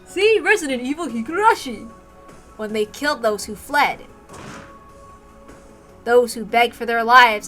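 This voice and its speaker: A woman's voice: on the high side, bright, forceful tone. A woman narrating a story in an amateur read-aloud, as its reader.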